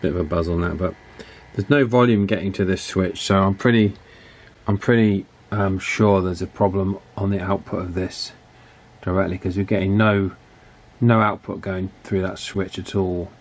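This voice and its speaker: A man with a somewhat low voice talks through a repair at his workbench. He thinks aloud in an easy, casual way, breaking off and restarting his sentences. He sounds like he is speaking into a camera mic in a home workshop. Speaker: radio repair hobbyist.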